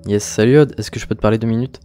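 A man's voice: on the low side, fairly even intonation, rapid-fire, warm tone, natural voice. A man reading an earnest, confiding teenage schoolboy in a visual novel.

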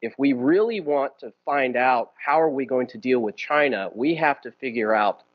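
A man of middle pitch speaks in earnest from a lectern in a conference room, often pausing on fillers and restarting his sentences. The sound is a little dull and lo-fi. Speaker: guest speaker. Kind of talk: forum talk and Q&A answers.

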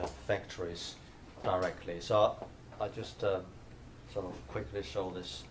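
Low-voiced man giving a hesitant lecture. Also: darkish tone, a little hiss or hum. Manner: hesitant, many fillers and restarts. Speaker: invited speaker, professor. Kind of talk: conference lecture. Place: dim lecture room, standing by projector screen.

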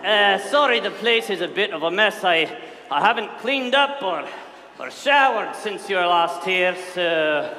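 A man playing a big, shaggy, gruff but affectionate groundskeeper in a comedic staged reading, loud and folksy. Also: high-pitched, expressive, measured pace, soft background music, heavily acted. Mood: hearty, boisterous. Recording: echoey hall, live audience, faint noise.

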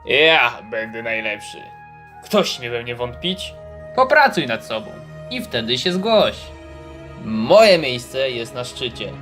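A man acts a cocky game fighter, proud and challenging. He delivers boasts slowly and forcefully over light music. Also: mid-range voice, very animated, full character voice. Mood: arrogant. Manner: arrogant, challenging. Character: cocky proud martial artist boasting of supremacy.

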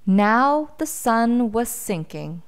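Animated, slow-paced woman storyteller. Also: fairly high pitch, clear, resonant tone. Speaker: storybook reader. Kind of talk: expressive children's storytelling.

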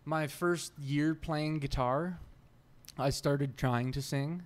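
A young adult man, a musician guest in a podcast interview, talking earnestly in animated bursts with many restarts, at a table mic in a small studio room. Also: on the high side, slow. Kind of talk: casual interview chat. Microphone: boom mic on the table.

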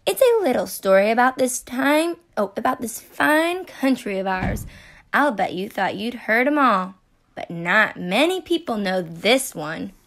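A woman reading a children's picture book aloud as storyteller, in a playful, expressive, deliberate way. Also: a little high. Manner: playful, deliberate, acting out asides.

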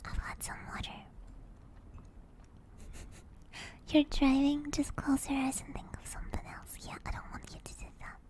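A woman streaming ASMR in a soft, breathy whisper, gently and soothingly guiding and chatting close to a binaural microphone. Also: sits high, completely flat delivery, a little slow, long pauses. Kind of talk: whispered ASMR guided meditation and chat. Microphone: close binaural ear microphone.

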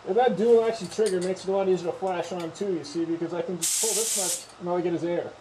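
A man's voice: sits high, fast talker. Rambling man in a hissy garage. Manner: rambling, thinks aloud and restarts mid-sentence. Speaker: home woodworker. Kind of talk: casual how-to demonstration. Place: home garage paint booth. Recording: hissy spray and compressor noise.

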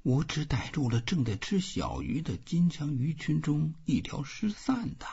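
A man's voice: a little high, big pitch swings, unhurried, vocal fry, warm tone, husky, dull audio, voice pushed up, exaggerated voice. A man voicing a lonely old fisherman who murmurs to himself in an audiobook. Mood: pondering.

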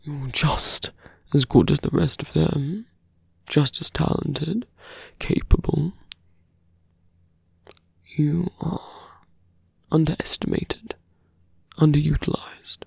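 A man acts out a sardonic, seductive fantasy villain in an ASMR roleplay. His voice is breathy and husky, heavy with fry. He murmurs slowly and intimately, very close to the microphone in a dry recording. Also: fairly high pitch, fairly even intonation, darkish tone, no echo, exaggerated voice. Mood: seductive, coaxing.

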